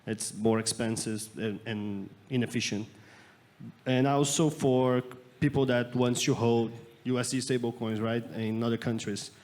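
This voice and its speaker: Flat-voiced Brazilian man on a panel. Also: mid-range voice, a little slow. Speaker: panelist, startup founder. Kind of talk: conference panel talk. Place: conference stage.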